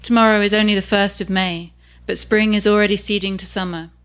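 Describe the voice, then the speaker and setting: An author reads prose aloud to a live audience. She takes her time over each sentence, and her voice sits at an ordinary middle pitch. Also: steady tone, fairly bright tone, smooth. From England. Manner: measured and unhurried. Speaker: author reading her own work. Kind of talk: reading fiction aloud.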